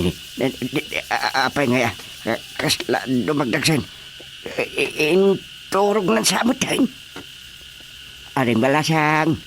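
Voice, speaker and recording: A man with a Filipino accent playing a fretful, excitable character in a radio comedy drama, halting, repeating himself and exclaiming. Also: fairly high pitch, slight background noise.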